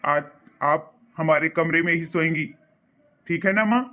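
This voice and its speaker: A man's voice: on the high side, a little slow, sharp tone. An actor voicing an earnest young son in a cartoon, reassuring his parents in a heartfelt way. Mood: pleading.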